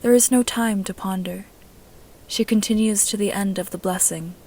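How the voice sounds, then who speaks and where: Female amateur narrator for audio fiction, mid-pitched, reading scripted prose. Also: steady tone, moderate pace, darkish tone. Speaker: amateur podfic narrator reading own story.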